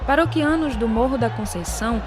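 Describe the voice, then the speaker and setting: Female documentary narrator, higher-pitched voice, scripted read with a clear music bed. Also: a little fast. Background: music under the voice.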